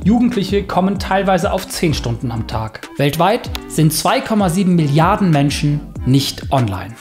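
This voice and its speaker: A man in his thirties, a YouTuber and screenwriter, narrating his own self-experiment in a lively, wry documentary style. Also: on the high side, normal speed, soft background music.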